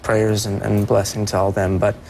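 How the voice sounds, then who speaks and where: A young man speaking as a performer guest on a TV interview, soft-voiced and earnest, often restarting his sentences. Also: fairly low pitch, level tone, moderate pace, gravelly, slight background noise, poor audio. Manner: earnest, with many restarts and repeated words. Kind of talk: TV morning show interview.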